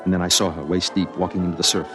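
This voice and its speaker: A man's voice: low voice, little pitch movement, very fast, natural voice. A man acting an earnest, lonely reporter in an old-time radio drama, with a solemn, literary delivery. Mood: somber, wistful. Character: earnest lonely male reporter narrating and pleading. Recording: vintage radio drama with music behind.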